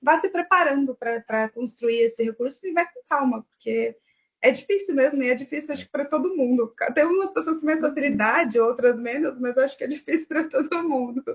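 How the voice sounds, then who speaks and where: A woman's voice: high voice, a little fast. A woman from Brazil with a Brazilian Portuguese accent, chatting on an online panel and thinking aloud with fillers. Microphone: video call. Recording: dull lo-fi online call audio.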